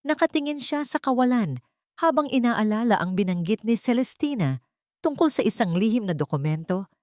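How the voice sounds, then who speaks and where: A woman's voice: fairly high pitch, expressive, moderate pace, darkish tone, no echo, studio quality. A woman narrating a scripted love story in a dramatic storytelling style.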